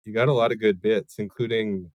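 Laid-back enthusiastic podcast host. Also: male voice, on the low side, steady tone, fairly quick, no room sound, lo-fi sound. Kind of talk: casual podcast chat.

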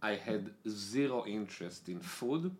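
A man's voice: fairly low pitch, a little slow. A young man with an Israeli accent, talking casually as an interview guest, hesitant and full of "like" fillers, with slightly non-native grammar. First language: Italian, then Hebrew. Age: young adult. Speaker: podcast guest.

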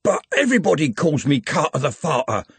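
A man's voice: on the high side, wildly swooping pitch, brisk, creaky, fairly bright tone, husky, no echo, voice pushed up, full character voice. A man voicing a whiny, overweight young man who pleads anxiously. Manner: whining and pleading.

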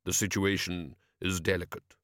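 Man acting a suave, measured foreign diplomat prince. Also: low-pitched, little pitch movement, a bit bright, no echo, studio quality, pitched below the performer's own voice. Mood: grave, formal. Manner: polite, measured, well-schooled speech. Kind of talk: audiobook character acting.

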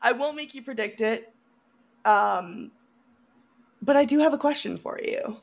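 Female podcast co-host, lively and swooping, fairly high voice, giggly, conversational film-chat delivery. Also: measured pace, creaky. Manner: giggly, breaks into laughter often. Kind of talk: casual film review chat.

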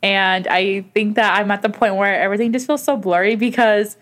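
A woman in her twenties co-hosting a podcast, chatting casually and rambling with quick asides. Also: mid-range voice, steady tone, a bit bright. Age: 20s. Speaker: podcast co-host. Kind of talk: casual chat.

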